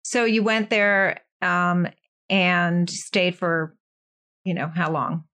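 A woman's voice: fairly low pitch, fairly bright tone. Inquisitive woman hosting an interview. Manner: inquisitive, halting follow-up questions. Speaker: interview host. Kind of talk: conversational interview.

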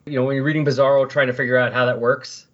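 A man's voice: mid-range voice, flat delivery, racing, a bit bright. A man in a casual comic book discussion on a podcast, sometimes reading dialogue aloud. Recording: dull, lo-fi voice recording.

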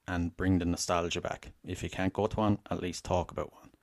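An Irish man with a very deep voice speaks as a podcast guest. His talk is relaxed and casual, with small restarts as he tells a story. The sound is dry, with no room around it. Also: brisk, no echo. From Ireland (Wexford).

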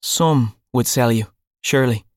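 A man's voice: mid-range voice, unhurried, dry recording, studio quality, voice pushed up, natural voice. A man voicing a stern, cold young pirate captain who speaks in clipped commands. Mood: calm, mocking.

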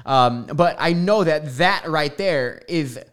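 A man's voice: fairly high pitch, lively intonation, takes it slowly, fairly bright tone. A young man with a lisp, hosting a podcast and talking casually in a rambling monologue full of fillers and tangents. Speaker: podcast host. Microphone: studio microphone.

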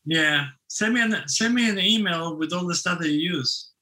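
Bright-voiced man firing eager questions. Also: sits high, moderate pace. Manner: eager, rambling technical questions. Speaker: co-host interviewer. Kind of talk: casual interview talk.

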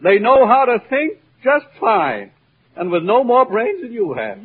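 An actor playing a blustering, kindly old humbug wizard in pompous, long-winded style for an old radio drama. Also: male voice, extremely high pitch, huge pitch swings, measured pace, breathy edge, full character voice. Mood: genial, pompous. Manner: pompous, long-winded, mock-formal oratory. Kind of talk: 1950s-or-earlier radio drama acting. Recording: old muffled radio recording with music behind.

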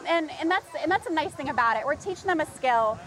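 A woman's voice: high voice, quick pace. A woman, a sheriff's deputy who teaches swimming, speaking upbeat in a news interview and acting out what the kids tell her. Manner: upbeat, quotes others in lively voices. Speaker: sheriff's deputy and swim instructor.

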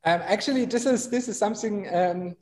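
High-voiced Austrian brewer, reverberant. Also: male voice, brisk, a little mellow. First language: German. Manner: chatty, laughs often, many fillers. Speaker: brewer guest. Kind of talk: casual storytelling.

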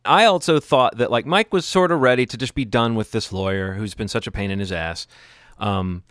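A man's voice: fairly low pitch, moderate pace, a bit bright, clear, resonant tone, no room sound, clean studio sound. A man co-hosting a podcast, talking through a TV episode in a casual, rambling, analytical way. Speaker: podcast co-host. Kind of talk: casual TV recap discussion.

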